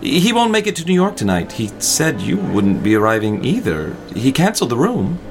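An actor playing a brisk, formal hotel concierge in a period melodrama, polite and officious. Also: male voice, medium pitch, wildly swooping pitch, gravelly, music in the background.

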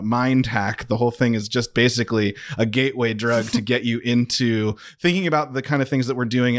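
A man speaking as an interview guest in a casual, informal way, enthusiastic and rambling. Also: mid-range voice. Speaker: organization representative, interview guest.

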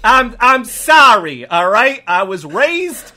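A man plays a defensive police officer with a Texas accent in an improvised comedy. He stammers and repeats his folksy protests in a bright, sharp voice. His pitch moves around a lot as he gets flustered. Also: very animated, slow pace, exaggerated voice. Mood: wounded, indignant. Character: flustered, defensive male cop from Wisconsin, easily mocked.

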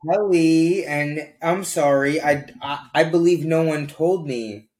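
A man's voice: on the high side, slow, a little mellow. Chatty man in his thirties. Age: 30s. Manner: chatty, rambling with fillers. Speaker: podcast co-host. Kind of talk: casual chat.

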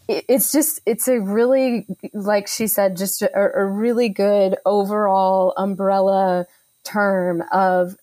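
A woman's voice: medium pitch, darkish tone, dry recording. Woman organizer chatting earnestly, pleased and upbeat, dry sound. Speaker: conference organizer, guest. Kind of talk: conversational interview answers.

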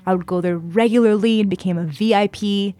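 A woman's voice: medium pitch, fairly even intonation, brisk, warm tone, no room sound. A woman in her twenties from San Diego, telling her story in a chatty interview style full of "like" and "uh". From San Diego, California, US. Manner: chatty, frequent fillers like "like" and "uh". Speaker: guest.

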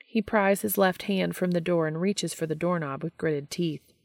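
A woman's voice: fairly low pitch, normal speed, no room sound, studio quality. A woman reading fan fiction aloud as scripted story narration.

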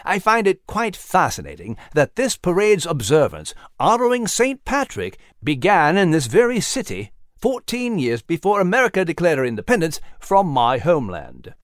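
Dapper British scholar mouse, highish voice. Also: male voice, wildly swooping pitch, moderate pace, hardly any pauses, dry recording, clean studio sound. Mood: pleased, erudite. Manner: erudite, pompous, florid diction.

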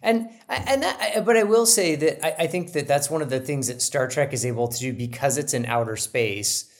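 Male co-host, mid-pitched, easygoing, restarts a lot. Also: normal speed. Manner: agreeable, frequent backchannels and restarts. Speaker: podcast co-host. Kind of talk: casual analytical chat.

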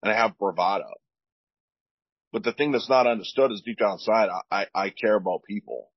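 Deep, husky-voiced man speaking earnestly. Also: fairly quick, no echo, highs cut off. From United States. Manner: earnest, repeats words when searching. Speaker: veteran guest. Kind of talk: candid podcast talk.

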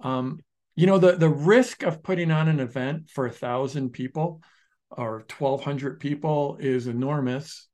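Animated man telling a story on a podcast. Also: fairly high pitch, unhurried. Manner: animated storyteller, restarts sentences, shifts pace often. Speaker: podcast co-host, online business founder. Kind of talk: casual podcast conversation.

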